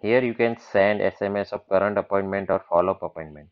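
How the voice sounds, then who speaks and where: A man giving a plain, matter-of-fact walkthrough of software over a screen recording. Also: low-pitched, fast, lo-fi sound. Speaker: software demonstrator.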